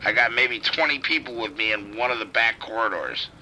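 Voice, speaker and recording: Bright-voiced panicked cop man. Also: on the low side, a little fast, a little hiss or hum, poor audio. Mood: urgent. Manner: urgent, swearing, panicked on the radio. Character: male police officer trapped in a casino outbreak. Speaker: police officer. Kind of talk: audio drama acting.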